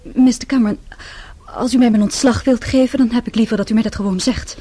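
A woman's voice: on the high side, fairly even intonation, brisk, dark tone, husky. A woman acting in a radio play as a young secretary, polite and hesitant, nervous yet able to stand her ground. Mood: indignant. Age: young adult.

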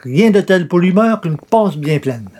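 A man's voice: sits high, animated, measured pace, a little mellow. A man playing a genial, bumbling newspaper columnist in a radio comedy, hesitant and coaxing. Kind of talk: old-time radio comedy acting.

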